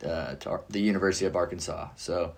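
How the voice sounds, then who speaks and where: A man's voice: low voice, fast, gravelly. A young man chatting casually as a podcast guest, laid-back and rambling, with lots of "like" and "dude". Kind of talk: casual conversation.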